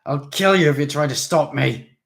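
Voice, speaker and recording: A man's voice: fairly low pitch, unhurried, darkish tone, close to an everyday voice. A man voicing a drunken, despairing male vampire prince who rants and shrieks. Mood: raging. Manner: shrieking, ranting.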